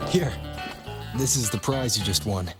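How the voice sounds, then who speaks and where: An actor playing a laid-back, practical male companion in a video game, calm and unruffled. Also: on the low side, measured pace, over loud music, close to an everyday voice. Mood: matter-of-fact.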